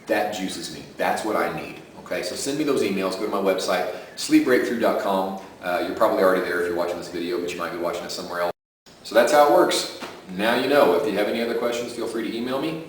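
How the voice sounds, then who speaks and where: A man with a deep voice pitching his sleep audio program in a chatty way and often adding "okay?" to his points. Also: fast talker, slight background noise. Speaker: self-help audio creator. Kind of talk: casual direct-to-camera pitch. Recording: roomy echo from a distant mic.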